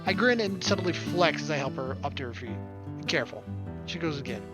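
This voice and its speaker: A man's voice: on the high side, animated, a little fast. A man hosting a gaming video, reading visual novel narration aloud with wry, casual asides. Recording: game music behind the voice. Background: game music.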